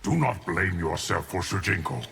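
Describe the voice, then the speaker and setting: An actor playing a stoic, loyal ancient guardian of time in a video game, formal, composed and grave. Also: male voice, low-pitched, animated, normal speed, creaky, a bit bright, a little hiss or hum, heavily acted. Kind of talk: video game fight-intro dialogue.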